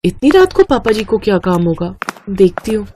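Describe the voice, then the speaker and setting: Female narrator as young dutiful daughter-in-law, earnest, emphatic, firm, cartoon family drama, medium pitch. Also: sing-song, normal speed, dark tone, slight background noise, lightly acted. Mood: wary, polite. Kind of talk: Hindi moral-story cartoon acting.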